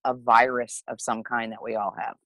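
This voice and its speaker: Supportive host, video call, asks follow-ups, mid pitch, dry close sound. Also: little pitch movement, moderate pace, a bit bright, no room sound. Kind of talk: casual group video chat.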